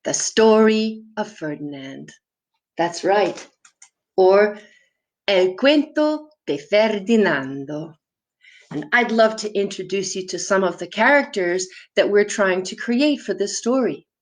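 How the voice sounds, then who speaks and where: A woman's voice: medium pitch, expressive, slow. A woman presenting to young viewers as a children's storyteller, explaining props and puppets simply and putting questions to them. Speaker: children's storyteller and theatre performer.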